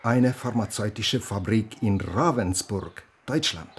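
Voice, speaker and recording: Low-voiced, dry Estonian police inspector, played by a man. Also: unhurried, a little hiss or hum, close to an everyday voice. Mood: matter-of-fact. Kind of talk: German radio drama acting.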